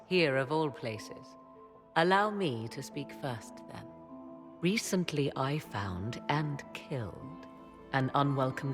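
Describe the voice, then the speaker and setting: Sly arcane advisor for a game, super low voice, swoopy theatrical delivery, big hall reverb. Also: female voice, full character voice. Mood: grave, intriguing. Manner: theatrical, formal, drawn-out phrasing. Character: enigmatic female arcane advisor, haughty and sly. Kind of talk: video game dramatic dialogue. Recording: long hall reverb under music.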